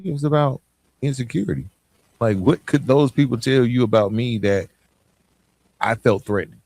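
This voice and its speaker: An American man hosting a podcast, giving a reflective motivational monologue in an earnest, emphatic way with deliberate pauses. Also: on the low side, a little mellow, dry recording. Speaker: podcast host.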